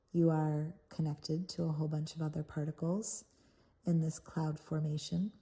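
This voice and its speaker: A woman with a very mellow, relaxed voice pitched a little low leads a guided visualization. She speaks soothingly in short phrases. Pauses between them give the delivery an unhurried feel. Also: vocal fry, slightly rough. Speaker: guided meditation host. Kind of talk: guided meditation, soft guided visualization.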